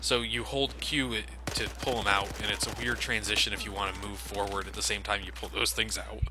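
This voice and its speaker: Guy doing a casual lets play, lowish voice, swears a lot, clear game gunfire noise behind him. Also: steady tone, a little fast. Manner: sweary, informal, explanatory. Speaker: let's play commentator. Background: video game gunfire and action.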